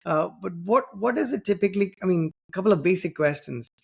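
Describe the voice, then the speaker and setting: A man from southern India whose first language is Tamil, interviewing a guest in a loose, conversational way. He talks around his points with frequent fillers and self-corrections, in a slightly higher-than-usual register. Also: expressive, quick pace, gravelly, darkish tone, slightly rough. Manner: rambling, many fillers and restarts. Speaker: podcast host.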